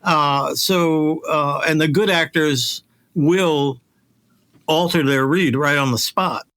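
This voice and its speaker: A man speaks in casual interview chat, his voice somewhat high and distinctly bright. He comes across as an informal host and director talking shop in everyday phrasing. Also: takes it slowly.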